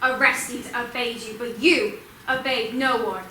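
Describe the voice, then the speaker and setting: Actress as fierce hunting Fury, very sharp high voice, accusatory stage declamation, roomy echo. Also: female voice, fairly even intonation, unhurried. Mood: accusing. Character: fierce female Fury, relentless hunting spirit of vengeance. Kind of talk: Greek tragedy stage acting. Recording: echoey room, distant mic, faint noise.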